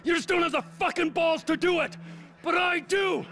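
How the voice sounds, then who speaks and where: A man's voice: extremely high pitch, normal speed, bright, forceful tone, full character voice. A man playing a bitter, aggressive middle-aged criminal in a dramatic video game scene. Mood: furious taunting. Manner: aggressive, taunting, profane. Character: volatile, betrayed middle-aged male criminal, furious and bitter.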